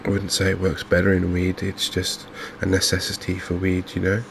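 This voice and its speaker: A man with an English accent giving gentle, unhurried, softly spoken ASMR commentary on a video game. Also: deep voice, monotone, vocal fry, a little hiss or hum. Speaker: gaming video host. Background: fishing game ambience.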